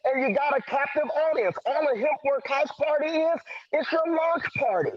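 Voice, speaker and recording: Guy with a really high voice and a stutter, preachy sales pep talk, dull lo-fi call audio. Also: lively intonation, moderate pace, no echo. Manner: preacher-like, emphatic, encouraging. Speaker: motivational guest speaker at a sales team event.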